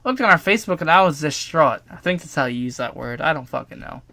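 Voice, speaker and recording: A man's voice: a little high, lively intonation, fast talker, gravelly, sharp tone, dry recording. A young adult man telling a personal story casually, partly from a script, with informal, self-deprecating asides and some swearing. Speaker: storytime video narrator.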